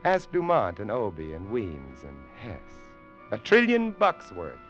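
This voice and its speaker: An unhinged sneering man, drawls slow, voice swings wild, old muffled radio drama sound. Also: lowish voice, wildly swooping pitch, soft background music, exaggerated voice. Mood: menacing. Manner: mocking, laughing, wild swings from mutter to shout. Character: menacing middle-aged man, sneering and unhinged. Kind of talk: 1940s radio acting. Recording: dull old radio recording.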